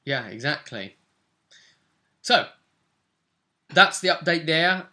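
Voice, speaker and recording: A man's voice: a little high, lively intonation, takes it slowly, pushed and bright. A man chatting casually as game master and host, recapping a tabletop roleplay session.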